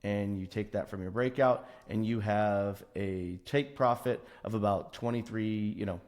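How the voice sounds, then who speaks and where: Low-pitched man giving emphatic chart commentary. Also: measured pace, a little mellow. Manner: emphatic, repeats intensifiers, checks in with "right?". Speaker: stock trading analyst presenting a chart breakdown. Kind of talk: unscripted chart walkthrough commentary.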